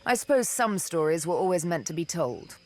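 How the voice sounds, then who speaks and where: An actress playing a haunted, wistful young elven woman in a video game, telling her tale in deliberate, poetic, theatrical phrases. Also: fairly low pitch, very animated. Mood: wry, reflective. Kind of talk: dramatic video game dialogue, intimate storytelling.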